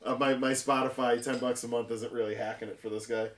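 A man's voice: medium pitch, flat delivery, fast, far from the mic. An American man hosting a casual podcast chat, talking in a slangy, rambling way. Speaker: host. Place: indoor room full of display cabinets. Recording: echoey room.

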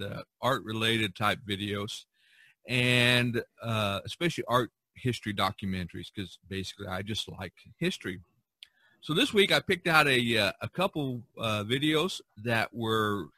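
An American man hosting a podcast in casual chat, talking in a halting, folksy way. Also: fairly low pitch, pushed and bright, no room sound. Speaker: podcast host.

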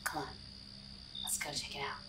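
A woman's voice: normal speed, recorded from a distance, heavy background noise, close to an everyday voice. A woman voicing a playful, daring young explorer in a whispered, teasing ASMR roleplay. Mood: teasing, conspiratorial.

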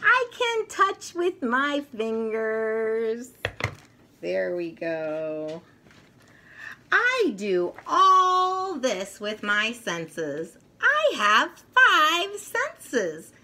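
A middle-aged woman reads and teaches to young children in an expressive, deliberate, sing-song storytelling manner. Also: fairly high pitch, slight background noise. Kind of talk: picture book read-aloud for young children. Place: furnished room at home, indoors.

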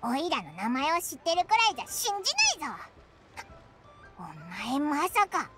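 A very high, squeaky little fairy companion from an anime game. The speech is brash, blunt and childlike, swinging wildly in pitch. Music plays clearly behind the voice. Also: female voice, big pitch swings, a little fast. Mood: suspicious. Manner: brash, boyish informal speech, exclaiming. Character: small childlike floating fairy companion, cheeky, suspicious, blunt. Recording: studio voice over game music. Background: background music.

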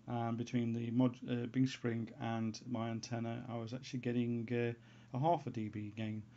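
A man giving an unscripted how-to voice-over as a hobbyist presenter, in a halting way, as if thinking aloud. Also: medium pitch, little pitch movement, mellow. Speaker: hobbyist presenter of a homebrew antenna tutorial. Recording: dull, lo-fi sound.

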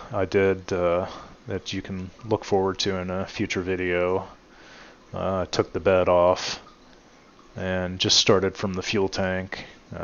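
A man's voice: low voice, lo-fi sound. A man hosting his channel, casually walking viewers around his truck in a home garage with lots of "uh" fillers. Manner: relaxed, many "uh" fillers, addresses viewers as guys. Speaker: channel host showing his truck restoration. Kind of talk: casual walkaround narration.

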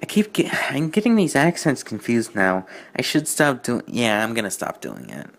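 A man hosting a fan fiction reading with casual, mocking commentary, halting and repeating himself in a sardonic way. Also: mid-range voice, wildly swooping pitch, gravelly.

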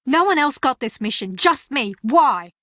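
Intense, swooping young rival. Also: female voice, sits high, expressive, a little slow, fairly bright tone, no echo, studio quality. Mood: accusing. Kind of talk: dramatic video game acting.

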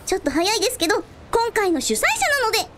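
A woman's voice: extremely high pitch, expressive, fast talker, noisy background. A woman playing a cheerful, polite teenage schoolgirl history fan in a radio drama. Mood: bright, polite.

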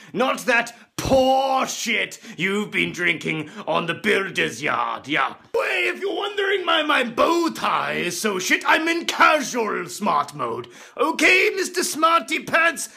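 A man's voice: high voice, creaky, sharp tone, heavily acted. A man playing a pompous, crude rich Englishman with a mustache and monocle, in a comedy sketch video.

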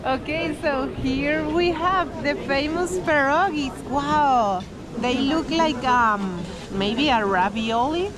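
A woman's voice: sits high, slow. A young woman from Venezuela with a Venezuelan Spanish accent, chatting enthusiastically to viewers as a travel vlogger. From Caracas, Venezuela. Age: young adult. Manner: exclaiming, enthusiastic. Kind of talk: casual vlog chat to viewers. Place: train station, mall and outdoor Christmas market. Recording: noisy busy public places, crowd chatter.

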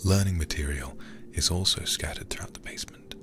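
A man streaming in a hushed ASMR style, reading horror game text deliberately into a close microphone. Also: low-pitched, music in the background.

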